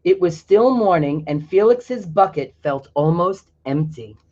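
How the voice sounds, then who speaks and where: A man's voice: high-pitched, animated, measured pace, darkish tone. A man reading a picture book aloud to children, stopping now and then to explain things to his young listeners. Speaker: storyteller. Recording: dull lo-fi recording.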